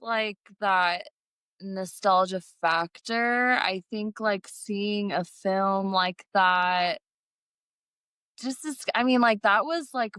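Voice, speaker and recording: A lively woman co-hosts a casual movie podcast, laughing easily and speaking in casual slang. Her voice is clear and smooth, recorded dry and close. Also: on the low side, no echo. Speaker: podcast co-host. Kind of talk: casual film podcast chat.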